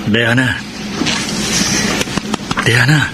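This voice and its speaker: A man's voice: medium pitch, fairly even intonation, extremely slow, very noisy. A man playing a patient, affectionate, accommodating husband in a Filipino radio drama. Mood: tender.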